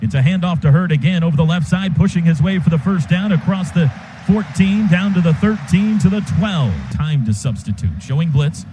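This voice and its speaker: A man doing live radio play-by-play of a football game in clipped, rapid calls that burst into shouts on big plays. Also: on the high side, slight background noise. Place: stadium radio booth.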